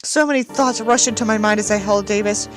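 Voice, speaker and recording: A woman's voice: medium pitch, a little fast, music in the background. A woman reading a book aloud in a mocking, dramatic way, with incredulous, sweary asides. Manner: dramatic, incredulous, expressive reactions. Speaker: reader and commentator of a badly written book.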